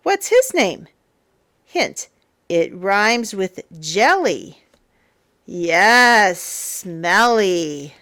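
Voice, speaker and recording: A woman reading a children's picture book aloud as a storyteller, in a theatrical, playful, emphatic way with drawn-out words. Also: medium pitch, sing-song, fairly bright tone. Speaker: storyteller, teacher.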